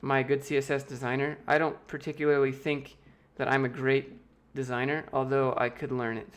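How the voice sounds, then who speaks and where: A man's voice: mid-range voice, level tone, fairly quick, fairly bright tone. American man casually answering livestream chat. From United States. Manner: loose, joking, reads chat questions aloud and answers offhand. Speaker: YouTube host answering chat.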